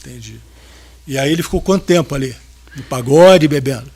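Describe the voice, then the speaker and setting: A man questioning a witness at a jury trial in a formal, methodical way, with short quiet acknowledgments between questions. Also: mid-range voice, brisk, slightly rough. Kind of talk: courtroom questioning.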